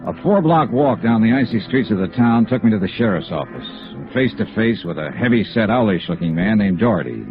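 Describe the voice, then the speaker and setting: A wry American male investigator narrating a 1950s radio noir. His delivery is clipped and matter-of-fact, with lively rises and falls. The old broadcast sounds muffled, with music behind. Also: fairly low pitch, a little fast, few pauses. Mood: sober narration. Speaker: lead actor and narrator.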